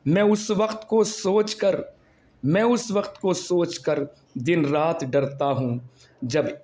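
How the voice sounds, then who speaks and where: A hoarse man recording at home, giving an impassioned, preachy monologue that builds to angry rhetorical questions. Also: a little high, slow, a little mellow. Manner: preachy, rhetorical, rising to anger. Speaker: video blogger.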